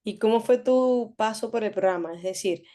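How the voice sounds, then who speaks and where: A woman's voice: medium pitch, normal speed, mellow. A woman coach interviewing a client, asking friendly prompting questions and rephrasing each one several times. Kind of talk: casual interview.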